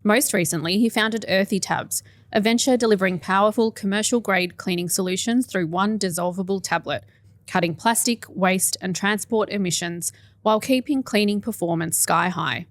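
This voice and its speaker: A woman hosting a podcast interview, encouraging and quick to laugh, chiming in often. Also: medium pitch, dry recording. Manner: warm, encouraging, frequent laughs and backchannels. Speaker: podcast host.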